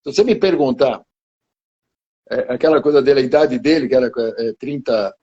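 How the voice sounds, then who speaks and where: A man in his seventies reminiscing slowly in conversation, halting and restarting his phrases. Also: medium pitch, warm tone, husky, dull audio. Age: 70s. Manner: slow, halting, with many restarts. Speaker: guest martial arts teacher.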